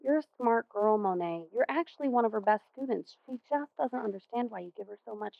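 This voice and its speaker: Stage role: patient, reasoning American school counselor, crackly high voice, dull sound. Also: female voice, animated, creaky. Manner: measured, reasoning, placating. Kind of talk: stage play acting.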